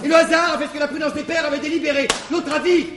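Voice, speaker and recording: An actor playing an anxious, fretful manservant in a classical French stage comedy. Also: male voice, very high-pitched, little pitch movement, racing. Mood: warning. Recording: distant, faintly noisy, roomy theatre stage.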